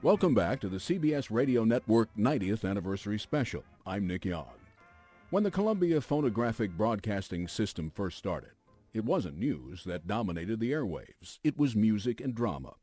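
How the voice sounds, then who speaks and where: A man hosting a radio retrospective, reading scripted narration in a polished, measured broadcast delivery. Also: on the low side, very animated, mellow, soft background music. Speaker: news radio host.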